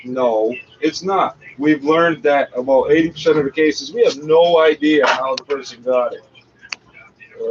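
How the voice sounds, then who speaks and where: Blunt man on a noisy lo-fi recording. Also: medium pitch. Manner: blunt, profane, sardonic. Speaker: commentator talking back at a radio broadcast. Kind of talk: off-the-cuff running commentary.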